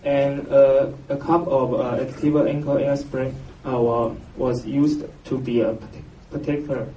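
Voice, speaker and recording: Male academic presenter, Taiwanese accent, halting and unsure, full of pauses; mellow tone, picked up from far off. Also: mid-range voice, little pitch movement. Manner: hesitant, halting, with very frequent filled pauses. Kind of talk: scientific conference presentation in halting English. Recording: roomy, noisy, distant mic, muffled echo.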